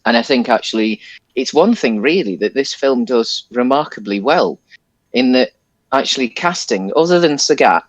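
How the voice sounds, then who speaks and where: A man's voice: medium pitch, expressive, moderate pace, no echo. A man co-hosting a podcast, casually discussing a film in a hesitant, rambling way. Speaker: podcast co-host.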